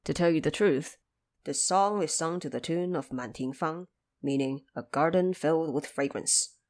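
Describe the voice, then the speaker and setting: A woman's voice: deep voice, normal speed, darkish tone, natural voice. A woman voicing a humble male woodcutter in an audio drama, self-effacing and plain-spoken. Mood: kindly explaining.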